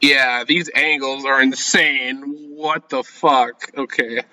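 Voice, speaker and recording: A man hosting a gaming video, reading a visual novel aloud and breaking off into flustered, halting, slangy reactions. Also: medium pitch, fairly even intonation, bright, forceful tone, poor audio. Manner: flustered, halting, self-censoring with casual slang.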